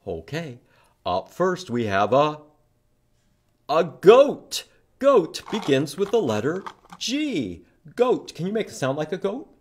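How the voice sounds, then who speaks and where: A man's voice: a little high, wildly swooping pitch, slow pace, darkish tone. A man hosting a children's library storytime, reading and teaching letters in simple, repeated phrases and prompting young listeners to join in.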